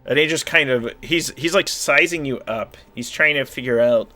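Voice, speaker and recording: A young man running a tabletop game as game master, animated and laughing often. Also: mid-range voice, normal speed, faint music behind. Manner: animated, laughs often, slips into quoted voices. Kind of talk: narrating a tabletop game over a call.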